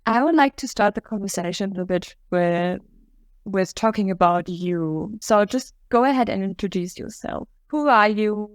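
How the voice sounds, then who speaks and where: A woman's voice: medium pitch, normal speed, no echo, studio quality. A woman hosting an interview podcast, speaking in an upbeat, welcoming way. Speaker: podcast host.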